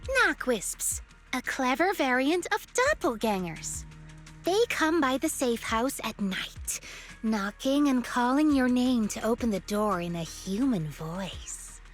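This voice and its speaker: High, lilting, playful young woman. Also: expressive, slow, soft background music. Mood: playfully instructive. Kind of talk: video game voice acting.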